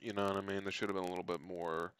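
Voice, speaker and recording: Low-voiced man giving blunt reaction commentary. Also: flat delivery, rapid-fire, fairly bright tone, clean tone, no room sound, clean studio sound. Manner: blunt, sweary. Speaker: reaction video host. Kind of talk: casual off-the-cuff reaction commentary.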